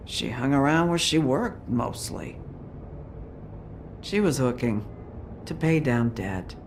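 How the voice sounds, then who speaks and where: A woman's voice: rumbling low, a little hiss or hum. An actress playing a grieving, foul-mouthed troll woman who runs a bar, blunt and guarded in a dramatic video game. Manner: blunt, bitter, guarded, swearing.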